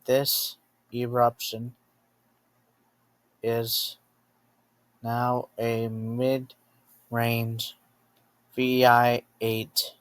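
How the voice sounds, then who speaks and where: A man narrating a scripted emergency alert as an announcer, halting between short phrases and often repeating himself to rephrase. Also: mid-range voice, monotone, a bit bright, smooth, dry recording, low-quality recording.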